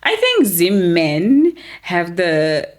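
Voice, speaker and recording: A woman's voice: fairly low pitch, drawn-out words. A young woman, chatting informally and expressively as a podcast guest, with "like" sprinkled through her sentences. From Zimbabwe. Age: young adult. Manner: informal, expressive, heavy use of "like". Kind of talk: casual conversational chat.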